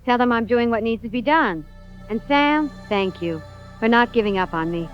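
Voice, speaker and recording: A woman's voice: fairly high pitch, lively intonation, normal speed, natural voice. Casting: actress playing resolute woman on the run, radio-drama field message, urgent clipped phrases, dull transmission sound over music. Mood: firm, then tender, urgent. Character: determined fugitive woman investigator leaving a last message.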